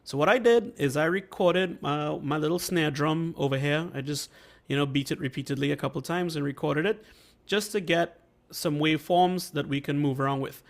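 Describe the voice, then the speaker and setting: A man with a slightly high voice, worn and rough from a recent flu, leads an online class. He talks loosely and haltingly, often pausing to check that everyone follows, and he comes through a video call mic in a home recording room. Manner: conversational, halting, checks in with listeners. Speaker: workshop host and mixing instructor. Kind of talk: casual online teaching. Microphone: video call microphone.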